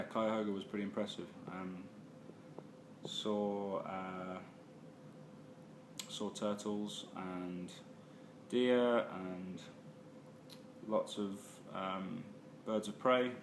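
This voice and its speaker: A man's voice: lowish voice, noisy background. A man casually recapping his travels for a vlog, full of ums and uhs and self-corrections. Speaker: travel vlogger. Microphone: phone or handheld camera.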